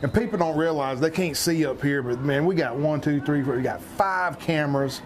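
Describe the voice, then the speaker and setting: Hoarse color commentator. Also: male voice, on the high side, normal speed, noisy background. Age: middle-aged. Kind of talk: local football commentary banter.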